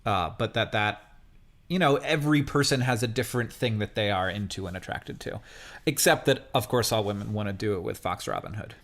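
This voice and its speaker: A man co-hosting a podcast in casual, joking chat, talking in a halting way with rephrasings. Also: lowish voice, fairly bright tone. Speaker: podcast co-host.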